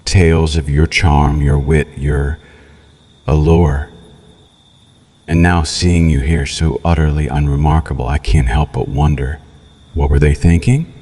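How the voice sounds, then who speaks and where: A man's voice: extremely low pitch, fairly even intonation, a little slow, mellow. A man playing a cruel, sadistic vampire captor in an ASMR roleplay, menacing and mocking in a theatrical way. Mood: mocking, gloating. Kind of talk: ASMR roleplay, scripted villain monologue.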